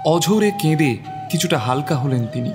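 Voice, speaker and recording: Reverberant, higher-pitched male story narrator. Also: animated, normal speed. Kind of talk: dramatic scripted story narration. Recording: long reverb, music underneath.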